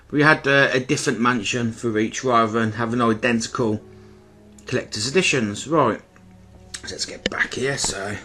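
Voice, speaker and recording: A man's voice: mid-range voice, pushed and bright. A man with a slightly bunged-up, stuffy-nosed voice, giving informal, rambling and apologetic commentary as he presents an item in his own video. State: stuffy with a blocked nose. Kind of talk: casual unboxing-style product commentary.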